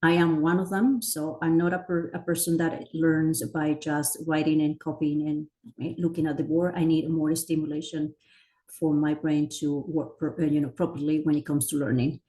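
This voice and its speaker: Presenter: middle-aged woman, low voice, full of hesitations and restarts. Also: level tone, normal speed, dark tone, rough recording. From Chile. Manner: many fillers and self-corrections. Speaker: educator presenting a teacher training. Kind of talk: conference presentation.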